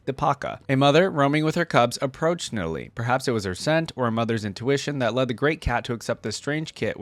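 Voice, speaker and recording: A man's voice: mid-range voice, dry recording. A man hosting a reaction video, mixing casual commentary with reading aloud.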